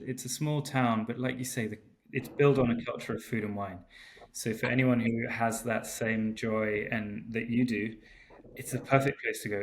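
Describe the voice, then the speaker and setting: A man from Portugal talks casually on a remote call. He is relaxed and hesitant, with frequent ums and false starts. A faint hiss sits under his voice. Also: mid-range voice, vocal fry. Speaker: guest winemaker. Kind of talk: casual interview chat. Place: home in Porto, remote call.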